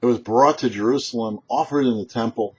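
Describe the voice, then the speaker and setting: A man, a rabbi hosting a short religious teaching, speaking emphatically and deliberately with dramatic pauses. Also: medium pitch, a little mellow, slightly rough. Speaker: rabbi, show host. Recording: muffled, dull lo-fi sound.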